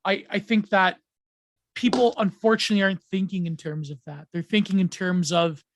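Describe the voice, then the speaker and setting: High-voiced man rambling through ideas. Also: fairly even intonation, a little fast, noisy background. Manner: rambling, many ums and restarts, laughs often. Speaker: podcast guest. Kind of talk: casual podcast chat.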